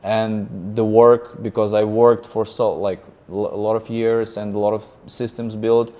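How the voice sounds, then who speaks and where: A man's voice: fairly low pitch, little pitch movement, unhurried, dark tone. Hesitant man in his thirties. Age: 30s. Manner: hesitant, many fillers and restarts. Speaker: guest, freelancer. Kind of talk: casual interview conversation.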